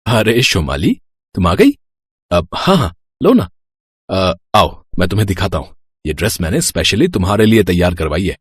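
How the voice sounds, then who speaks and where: A man's voice: low voice, very animated, normal speed, slightly rough, exaggerated voice. A man voicing a doting, proud, wealthy middle-aged father in an audio story, indulgent and emphatic.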